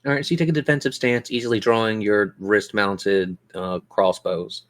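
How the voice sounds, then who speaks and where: A man with a fairly low voice acts as game master at a tabletop role-playing session. He narrates descriptively, painting scenes for the players and steering them with questions. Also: steady tone, moderate pace, fairly bright tone.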